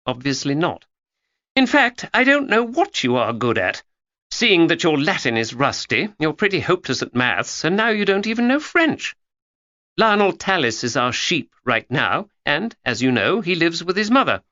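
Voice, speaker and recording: A man's voice: fairly high pitch, bright, forceful tone, dry recording, highs cut off, voice pushed up. A man doing a bossy gossipy schoolgirl. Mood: lecturing. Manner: chatty, lecturing and cheeky. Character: bossy know-it-all schoolgirl, chattering, gossipy. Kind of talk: audiobook character voice.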